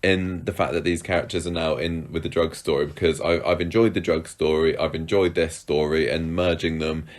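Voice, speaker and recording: Man, podcast co-host, very low voice, hoarse and croaky, keen and a little halting. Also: steady tone. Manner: enthusiastic, repeats words as he starts. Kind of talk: casual chat about a soap opera.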